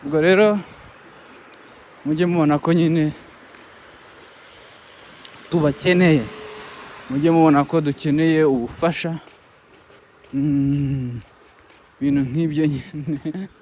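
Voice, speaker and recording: A Rwandan man, a native Kinyarwanda speaker, talking to viewers as a content creator in a casual vlog, halting and pleading with many restarts. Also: on the high side, steady tone, a little mellow, slight background noise. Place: outdoors by a road with passing buses.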